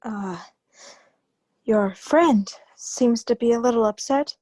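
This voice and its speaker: Woman doing a cornered wife in an old radio crime play, high voice, tense and defiant. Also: takes it slowly, a little mellow. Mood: tense, guarded. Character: cornered, desperate young wealthy wife hiding a past. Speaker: actor. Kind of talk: old-time radio crime drama acting.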